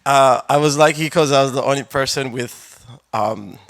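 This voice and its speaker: Gravelly voice, young adult rapper, dry close sound, loose rambling talk. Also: mid-range voice, moderate pace, no room sound. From Zambia. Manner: relaxed, rambling with fillers and restarts. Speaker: rapper and producer, interview guest. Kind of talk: casual radio interview.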